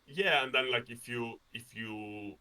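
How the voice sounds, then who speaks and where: A man from Norway talks casually as a guest in a conversation. His voice is bright and forward, though it sits a little far from the mic. He rambles through anecdotes with self-deprecating asides and acts out short quotes. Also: mid-range voice, a little slow. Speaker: interview guest, game developer.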